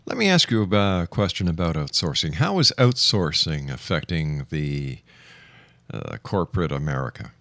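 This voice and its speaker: Low-voiced male radio interviewer. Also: big pitch swings, moderate pace, creaky, a bit bright, no echo.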